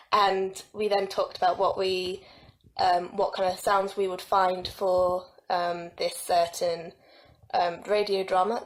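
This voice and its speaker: A young woman giving an informal, halting account of a student project. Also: fairly low pitch, rough recording.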